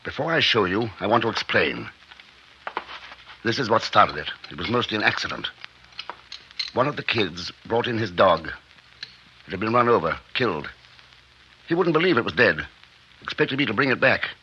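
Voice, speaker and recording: An actor playing a proud, secretive, driven scientist in a 1950s radio drama, with an intense, clipped delivery. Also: male voice, fairly low pitch, sing-song, moderate pace, pauses often. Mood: guarded, matter-of-fact. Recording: muffled, noisy 1950s radio recording, clicks.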